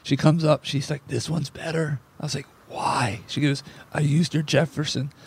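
A man hosting a sports podcast who has lost most of his voice and sounds hoarse, talking in a rambling, self-deprecating way. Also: a little high, flat delivery, moderate pace. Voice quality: gravelly. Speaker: podcast host. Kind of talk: casual sports podcast chat.

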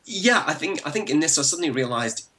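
A man in his forties from Belfast with a Northern Irish accent, thinking back as a podcast guest, with frequent restarts and soft laughs. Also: a little high, animated, fast. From Northern Ireland. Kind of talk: relaxed interview over a call.

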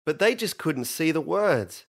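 A man narrating a children's story in an expressive, playful style. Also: on the high side, measured pace, heavily acted.

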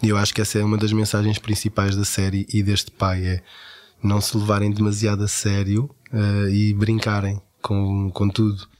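Male interviewee, voice actor, lowish register, European Portuguese, casual and enthusiastic, dry sound. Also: completely flat delivery, moderate pace, a little mellow, dry recording.

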